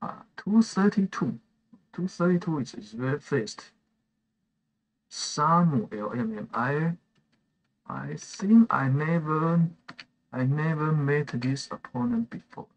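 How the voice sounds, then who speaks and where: A man from Taiwan whose first language is Chinese, chatting slowly through a live game stream with many fillers and restarts while he answers viewers' messages. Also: a little high, lively intonation, low-quality recording. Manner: reads chat messages aloud and answers them. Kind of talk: live gameplay stream chat.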